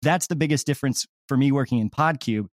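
A man's voice: medium pitch, fast, no room sound, studio quality. A man speaking casually and emphatically as a comedy creator being interviewed.

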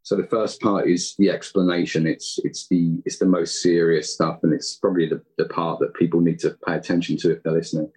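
Low, husky man talking casually. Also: little pitch movement, brisk, hardly any pauses, darkish tone, rough recording. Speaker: guest, audio drama writer and editor. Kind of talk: casual interview talk.

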